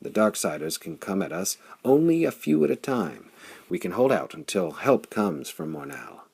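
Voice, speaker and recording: A man voicing a bold, urgent warrior hero of pulp space adventure, commanding and raspy. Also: low voice, big pitch swings, normal speed, darkish tone, full character voice. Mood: forceful, determined.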